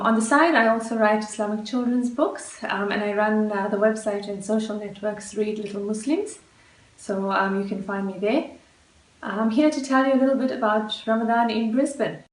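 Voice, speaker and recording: A woman in her thirties from Zimbabwe introducing herself in a friendly, relaxed way, with fillers. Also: medium pitch, moderate pace. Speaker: guest contributor, children's book author. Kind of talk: casual self-introduction. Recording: roomy, distant mic.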